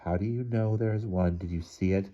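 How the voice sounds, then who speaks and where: A man voicing sensible young twin girls in a storybook, in a calm, matter-of-fact manner. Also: low-pitched, a little fast. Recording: dull, lo-fi recording.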